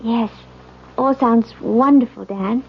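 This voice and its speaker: An actress playing an anxious newlywed wife in a 1970s radio drama, tense and polite with hesitations. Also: female voice, a little high, slow pace, slight background noise. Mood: uneasy, polite. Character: anxious newlywed wife, frightened and suspicious of husband. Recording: muffled old radio broadcast, music behind.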